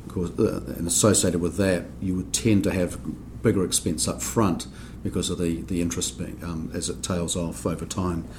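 A man with a New Zealand accent and a deep, low voice talks through technical points at a steady, explanatory pace on a podcast. He hesitates often with ums and restarts. Faint hiss sits under the voice. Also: normal speed, few pauses. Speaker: accounting standards board member. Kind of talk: podcast discussion.

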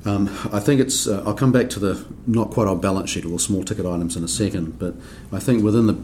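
A man with a New Zealand accent and a deep, low voice talks through technical points at a steady, explanatory pace on a podcast. He hesitates often with ums and restarts. Faint hiss sits under the voice. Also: quick pace. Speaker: accounting standards board member. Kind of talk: podcast discussion.

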